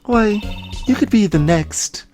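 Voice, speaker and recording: A man's voice: sits high, animated, slow pace, a little mellow, faint music behind, voice pushed up. A man voicing a perky young female assistant in a video game, chatty, eager and teasing.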